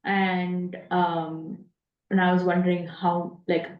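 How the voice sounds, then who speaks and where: A woman's voice: fairly low pitch, flat delivery, slow, distant mic. A woman casually asking a question in a group discussion, tentative, with stammered restarts. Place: indoor room at a group meeting. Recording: dull echoey room, off mic.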